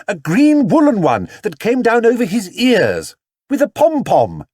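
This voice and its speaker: A man's voice: high voice, huge pitch swings, measured pace, darkish tone, slightly rough, no echo, studio quality, voice pushed up, exaggerated voice. A man voicing an earnest, fretful mother in a children's storybook, speaking emphatically. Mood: shouting.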